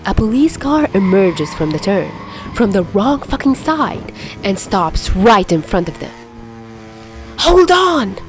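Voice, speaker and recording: A woman reading fan fiction aloud as an amateur narrator, in an expressive, dramatic storytelling style. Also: mid-range voice, a little slow, a little hiss or hum.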